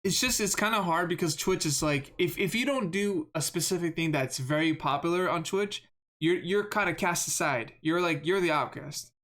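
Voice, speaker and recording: Monotone rambling game streamer. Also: medium pitch, moderate pace. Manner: rambling, self-deprecating, repeats words and says "like" often. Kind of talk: live gameplay commentary stream.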